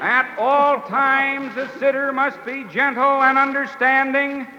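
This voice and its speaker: Solemn high-pitched man reading an oath. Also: fairly even intonation, slow pace, very noisy. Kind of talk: scripted radio comedy. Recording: reverberant dull 1940s radio broadcast.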